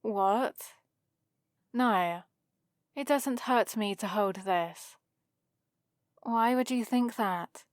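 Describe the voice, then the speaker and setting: A woman's voice: medium pitch, extremely slow, pauses often, dry recording. A woman playing a haughty, sarcastic centuries-old vampire librarian in a scripted ASMR roleplay, with a dry, theatrical delivery. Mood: bemused, sarcastic.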